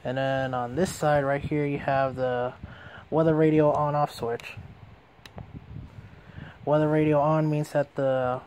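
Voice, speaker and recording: A man's voice: fairly high pitch, level tone, a little slow, darkish tone, slight background noise. A man giving a casual hands-on product demonstration as a reviewer.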